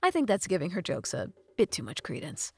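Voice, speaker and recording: A woman voicing a calm, earnest young male hero, sincere and good-natured, in a storybook narration. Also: lowish voice, brisk, breathy edge, faint music behind, exaggerated voice.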